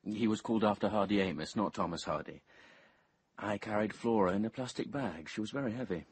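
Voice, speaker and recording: A man's voice: lowish voice, brisk, slight background noise, close to an everyday voice. An actor playing a reserved young English man in a radio drama, understated and reflective as narrator and character. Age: young adult. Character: reserved, squeamish young man recalling his family's past.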